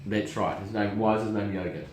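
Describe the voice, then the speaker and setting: A man's voice: on the low side, level tone, brisk, noisy background. Chatty New man in a roomy echo. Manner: chatty, stumbles and repeats words while searching. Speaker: racing show host and syndicate manager. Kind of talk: casual family chat show.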